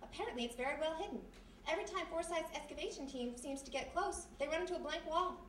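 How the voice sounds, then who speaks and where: A brassy wisecracking dame played by a woman in a 1940s-style radio mystery. She is high and quick, with snappy, slangy sass. The live stage recording is quite echoey. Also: close to an everyday voice. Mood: curious. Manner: sassy, slangy, snappy delivery. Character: brassy, wisecracking young female former vaudevillian sidekick. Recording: echoey live stage, distant, faint noise.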